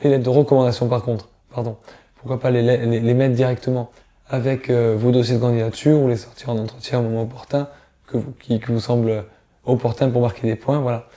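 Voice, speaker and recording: A middle-aged male career coach talks straight to camera, his voice hoarse from a cold. The tone is very dark and mellow. He pushes his listeners to act in a direct, coaxing manner. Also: medium pitch, flat delivery, a little fast. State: hoarse from illness. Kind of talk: casual advice talk to camera.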